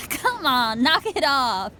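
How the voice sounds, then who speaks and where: A woman's voice: sits high, big pitch swings, takes it slowly, pushed and bright, a little hiss or hum, full character voice. An actress playing a confident, easygoing young woman musician in a video game, with a folksy, reassuring manner. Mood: amused. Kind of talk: video game character acting.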